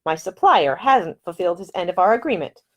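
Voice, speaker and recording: A woman voicing a spirited, independent young woman perfumer, talking in a brisk, earnest and self-assured way in audiobook dialogue. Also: fairly low pitch, lively intonation, fairly bright tone. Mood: earnest, determined.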